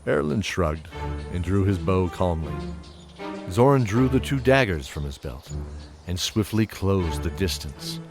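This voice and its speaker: A man narrating a scripted fantasy story in a measured, dramatic manner. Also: low-pitched, animated. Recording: music under the voice.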